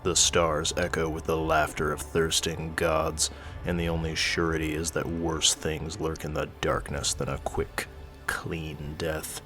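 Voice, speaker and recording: A man's voice: very deep voice, completely flat delivery, measured pace, a bit bright, soft background music. A man giving a grave, theatrical narration of scripted horror stories.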